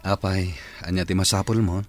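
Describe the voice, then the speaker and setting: A man's voice: sits low, little pitch movement, moderate pace, natural voice. A man playing a mild married man torn by temptation in a radio drama, hesitant and earnest, with an Ilocano accent. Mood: hesitant, guarded. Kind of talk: Filipino radio drama acting.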